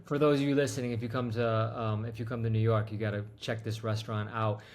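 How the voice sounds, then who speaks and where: Chatty host, halting with fillers. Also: male voice, fairly low pitch, flat delivery, slight background noise. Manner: rambling, lots of fillers. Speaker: podcast host. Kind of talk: casual interview.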